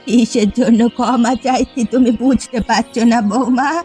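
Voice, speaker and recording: A woman playing an elderly, clinging mother-in-law in an animated family drama, speaking with emotional emphasis. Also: fairly high pitch, moderate pace, faint music behind, close to an everyday voice. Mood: remorseful, pleading. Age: older. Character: elderly widowed mother-in-law, jealous, clinging, later remorseful.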